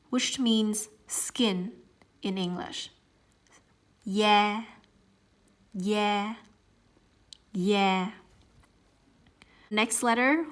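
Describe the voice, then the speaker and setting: Very slow-spoken Southern Vietnamese teacher woman. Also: mid-range voice. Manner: repeats each sound several times, gentle and careful. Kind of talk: slow, patient pronunciation lesson.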